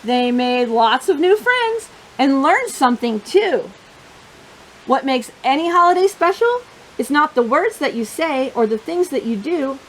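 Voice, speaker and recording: A woman hosting storytime for young children, reading and chatting in simple, expressive phrases. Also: sits high, a little slow, a little hiss or hum, poor audio.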